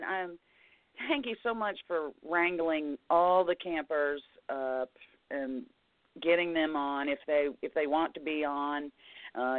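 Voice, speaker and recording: Easygoing show host, mid pitch, tinny phone-like sound. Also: dry recording. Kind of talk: radio show hosting.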